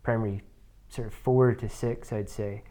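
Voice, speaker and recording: Scottish guy from inverness, lowish voice, chatty and wry, keeps correcting himself. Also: fairly even intonation, normal speed, dark tone. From Scotland. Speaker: business co-founder guest. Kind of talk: casual interview chat.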